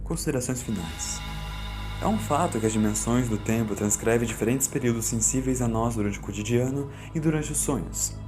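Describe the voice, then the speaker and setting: Brooding man of science read by a male narrator: solemn first-person audiobook, deliberate, uneasy, music underneath. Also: medium pitch, natural voice. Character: Doctor Carlos, fearful, reflective man of science recounting events.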